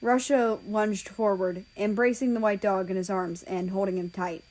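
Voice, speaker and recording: A woman's voice: medium pitch, steady tone, moderate pace, a little mellow, low-quality recording. A woman reading a story aloud as scripted narration, an amateur audiobook reader.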